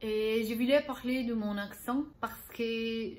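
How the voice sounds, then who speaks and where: A woman with a Spanish accent talks unscripted in a learner's vlog. Her delivery is slow and halting, with frequent pauses while she searches for words and restarts her sentences. She laughs lightly at her own mistakes along the way. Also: on the high side, fairly even intonation, a little hiss or hum. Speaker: language-learning vlogger.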